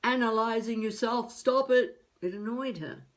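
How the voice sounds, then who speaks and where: An actress playing an elderly wannabe novelist, wry and self-mocking, musing haltingly to herself in a confessional video-diary monologue. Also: female voice, a little high, close to an everyday voice. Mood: reflective. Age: older.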